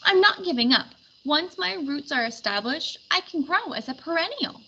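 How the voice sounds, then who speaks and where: Woman voicing a high, fussy little daisy plant. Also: animated, moderate pace, bright, forceful tone, lo-fi sound, slight background noise, heavily acted. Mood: eager, determined. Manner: complaining, particular, emphatic.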